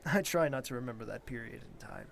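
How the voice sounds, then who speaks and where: A man playing a composed, earnest young prince in an audio drama, with a measured, formal way of speaking. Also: mid-range voice, lively intonation, vocal fry, fairly bright tone. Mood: polite, reserved.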